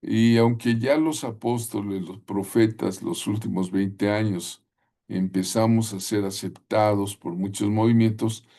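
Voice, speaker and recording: Middle-aged male Bible teacher, low warm voice, deliberate delivery that invites listeners to repeat lines. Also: fairly even intonation. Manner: deliberate, with frequent "eh" and tag questions; calls on listeners at home to repeat phrases. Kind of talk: preaching-style Bible lesson.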